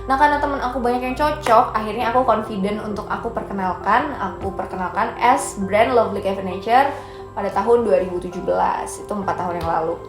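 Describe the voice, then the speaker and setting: A woman's voice: medium pitch, brisk. A young woman in her twenties, a brand founder chatting casually and upbeat as she answers viewer questions. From Indonesia. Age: 20s. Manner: chatty, upbeat, mixes in English phrases. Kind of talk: casual chat. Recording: echoey room with soft music.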